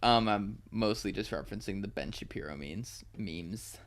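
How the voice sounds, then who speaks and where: A man in his twenties co-hosting a podcast, chatting casually and swearing freely. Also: lowish voice. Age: 20s. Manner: animated, swearing, quick laughs. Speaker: podcast co-host. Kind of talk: casual chat.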